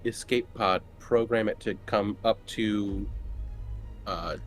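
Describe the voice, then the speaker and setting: Lowish-voiced man chatting during a game. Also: steady tone, slow pace. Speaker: player in a tabletop roleplaying game. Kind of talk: casual game chat. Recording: music playing behind the voice.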